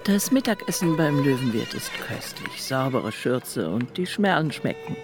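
A narrator in a scripted radio drama, telling a poet's journey in measured, wry, literary phrases. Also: medium pitch, sing-song, over music.

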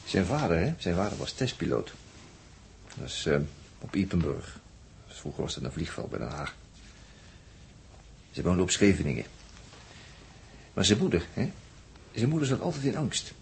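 A reflective spaceship crewman in a vintage radio drama. His voice is low and he muses, searching for words and checking with little questioning tags. A light noise sits on the old recording. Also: big pitch swings, moderate pace, gravelly, pauses often, heavily acted. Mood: musing. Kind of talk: 1960s Dutch radio drama acting.

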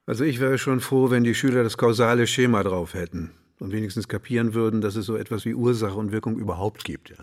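An actor playing a wry, condescending, smugly rational physics teacher in a theatrical drama, with pointed delivery. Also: male voice, on the low side, fast, few pauses, no echo, clean studio sound, lightly acted. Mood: mocking. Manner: sardonic, pointed. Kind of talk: theatrical monologue and dialogue in a drama.

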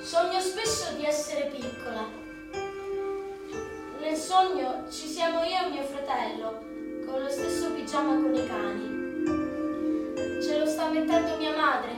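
An actress playing an eager, flustered young telemarketer in a theatrical radio comedy monologue. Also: female voice, high voice. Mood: wistful. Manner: chirpy sales patter with muttered asides. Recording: echoey room, distant mic, music behind.

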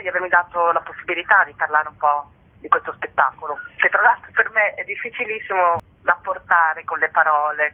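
An actress giving an earnest radio interview, searching for her words. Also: lowish voice. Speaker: theatre actress, interview guest. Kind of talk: thoughtful radio interview talk. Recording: narrow telephone line.

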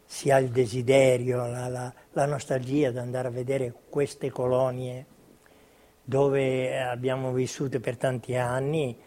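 An elderly man from Italian colonial Libya giving spontaneous recorded testimony, recalling his past in a halting way with repeated words. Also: mid-range voice, level tone, dark tone. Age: older, over 70. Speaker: witness recalling his childhood.

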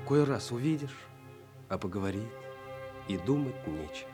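An actor playing an ardent, bold young prince in love, in a romantic, declamatory radio play style. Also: male voice, lowish voice, expressive, normal speed, lightly acted. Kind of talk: theatrical radio play acting. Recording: music playing behind the voice.

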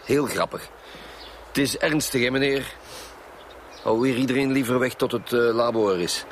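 An actor with a Flemish accent playing a bossy, sardonic police commissioner in a radio drama. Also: male voice, medium pitch, normal speed, slight background noise, lightly acted. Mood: businesslike, dry. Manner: bossy, dry. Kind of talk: naturalistic radio drama dialogue.

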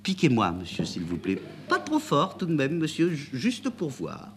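A man's voice: very animated, moderate pace, a little hiss or hum, lightly acted. A man voicing a nervous, bewildered young woman in a dramatic reading of a play, breathless and pleading. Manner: breathless, pleading, high-strung.

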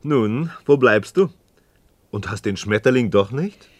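A man's voice: mid-range voice, animated, unhurried, no room sound. An actor playing an inquisitive, skeptical man in a radio play, speaking articulate, rhetorical in philosophical dialogue. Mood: musing.

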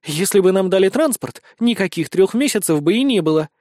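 A man's voice: fairly high pitch, big pitch swings, fast, dry recording, clean studio sound. A man voicing a feisty petite woman, bossy and exasperated, in a fantasy audiobook. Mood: indignant. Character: feisty petite blonde woman, gruff protective comrade.

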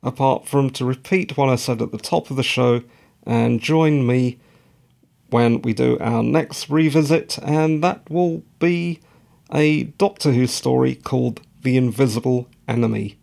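A podcast host with a croaky, gravelly voice that creaks at the bottom. He talks slowly and deliberately, pausing often. He wanders off into rambling, self-mocking asides. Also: medium pitch, a little mellow. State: croaky, tired voice. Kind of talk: solo podcast recap monologue.

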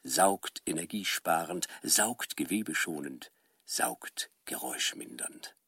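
A talking household machine in a radio play. It is extremely deep and gravelly, reciting feature slogans very slowly in a measured, breathy way, close and dry. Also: male voice, animated, fairly bright tone, no echo, exaggerated voice. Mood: seductive recital. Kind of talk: advertising slogans in a radio drama.

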